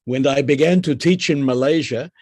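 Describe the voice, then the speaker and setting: A man with an Australian accent giving a formal, deliberate academic presentation as a guest professor over a video call. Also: medium pitch, a little mellow, no echo. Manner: slow, deliberate, formal speaking. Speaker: visiting professor, guest lecturer.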